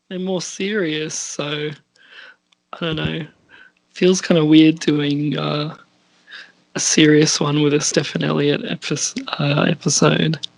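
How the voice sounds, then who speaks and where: A man's voice: on the high side, little pitch movement, slow, gravelly, long pauses, low-quality recording. A man hosting a casual podcast as a scriptwriter, hesitant and self-deprecating. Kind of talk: casual chat.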